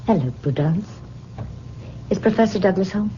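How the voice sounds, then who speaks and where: An actress playing a frightened young fiancée tormented by a spell, in a melodramatic, pleading old-time radio style. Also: female voice, medium pitch, animated, normal speed, dark tone, close to an everyday voice. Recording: muffled, noisy old radio recording.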